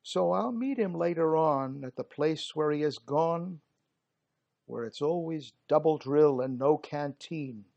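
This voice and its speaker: A man reciting dramatic verse as a gruff working-class British soldier of colonial India, theatrical and emphatic, with slangy, clipped word endings. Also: a little high, measured pace, lo-fi sound. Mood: grave, fervent. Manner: theatrical, emphatic, dropped g's and slangy phrasing.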